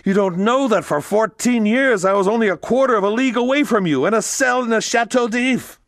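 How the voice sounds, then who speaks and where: A man's voice: high voice, a little fast, dry recording, clean studio sound, pitched above the performer's own voice. A man voicing a cold, vengeful middle-aged nobleman who speaks in a stern, bitter, accusing way.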